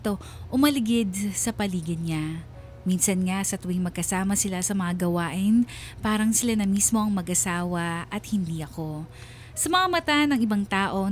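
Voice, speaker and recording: An actress voicing a heartbroken, jealous wife who narrates her letter in a radio drama, measured, earnest and sorrowful. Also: medium pitch, darkish tone, lightly acted.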